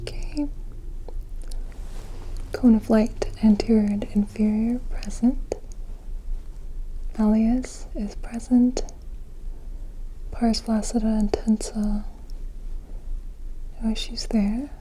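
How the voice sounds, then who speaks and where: A woman whispering softly and breathily in an ASMR medical roleplay, gently and carefully narrating an examination like a clinician. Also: on the high side, level tone, takes it slowly, long pauses, a little hiss or hum, rough recording.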